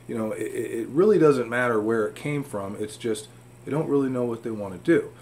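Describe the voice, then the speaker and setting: A man's voice: mid-range voice, brisk, darkish tone. A man giving informal, emphatic fitness advice to camera in a home room. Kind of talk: informal instructional talk to camera. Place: indoor home room with fish tanks. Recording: clear room hum and background noise.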